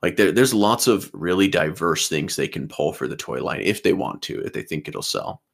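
A man in his twenties with a very low voice and a gravelly texture. He talks on a podcast as co-host, eager, restarting his sentences as he goes. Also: animated, moderate pace. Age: 20s. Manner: enthusiastic, repeats words at starts of sentences. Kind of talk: casual chat.

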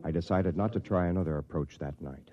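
An actor playing an eager, friendly stranded Earthman in 1950s radio drama style, expressive and earnest. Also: sits low, a little fast. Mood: earnest, rueful. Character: eager, friendly castaway Earthman narrating his own story. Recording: dull, noisy vintage radio recording.

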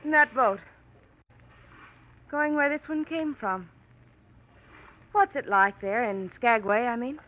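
A woman's voice: a little high, takes it slowly, pauses often. An actress playing a wistful, talkative young woman traveller in an old-time radio drama. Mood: friendly, curious. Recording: muffled vintage radio recording, faint noise.

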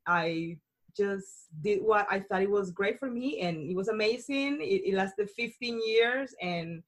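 A woman's voice: medium pitch, unhurried. A woman of about forty from Colombia, a native Spanish speaker with a Colombian accent, talking about her life on a casual podcast. She is bubbly and laughs often. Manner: bubbly, laughs often, chatty with frequent restarts. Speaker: podcast host being interviewed.

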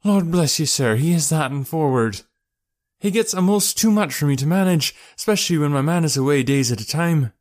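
A man's voice: on the high side, lively intonation, fairly quick, voice pushed up, natural voice. A man voicing an anxious, talkative working-class English wife in an audiobook, folksy and deferential. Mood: chatty, worried. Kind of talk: audiobook character voice.

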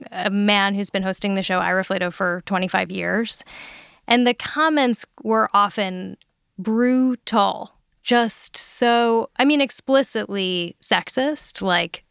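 Smooth-voiced woman podcast host. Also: mid-range voice, fairly bright tone, no room sound, studio quality. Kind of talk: casual studio chat. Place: recording studio. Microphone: studio microphone.

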